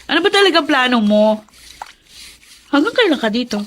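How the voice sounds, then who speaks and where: A woman's voice: high voice, very animated, normal speed, fairly bright tone, slight background noise. A woman with a Filipino accent playing a mean, scornful sister-in-law in a radio drama, sarcastic and cutting. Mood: mocking.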